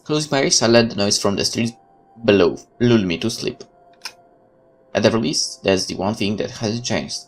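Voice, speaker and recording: A man's voice: on the low side, normal speed. A man reading a horror visual novel aloud as a let's player, with casual commentary of his own.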